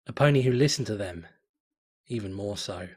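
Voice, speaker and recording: A man reading a fanfiction story aloud in a measured, dramatic storytelling manner. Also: lowish voice, expressive, darkish tone, husky. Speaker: fanfiction reader.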